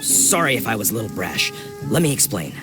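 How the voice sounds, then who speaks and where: An actor playing a brash, earnest leader of village hunters in a video game, with a hearty, emphatic delivery. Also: male voice, lowish voice, very animated, fairly quick. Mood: eager. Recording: game music behind.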